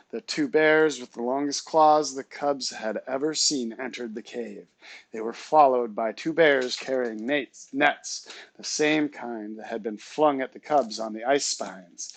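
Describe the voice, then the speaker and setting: Male read-aloud narrator, expressive and dramatic, middling pitch, close dry sound. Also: dry recording. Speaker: teacher reading aloud. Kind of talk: children's novel read-aloud.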